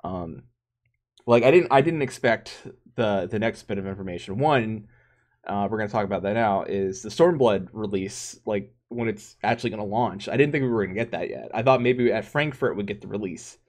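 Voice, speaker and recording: A man's voice: fairly low pitch, moderate pace. Rambling, laughing male podcast co-host. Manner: rambling, stop-start, laughs often. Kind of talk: casual gaming podcast chat.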